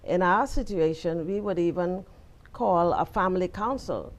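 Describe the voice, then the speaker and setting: A woman's voice: lowish voice, normal speed. An older woman from the Bahamas with a Bahamian accent, a guest in an interview giving firm, preacherly advice. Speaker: interview guest, retired teacher and pastor's wife. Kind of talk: conversational interview.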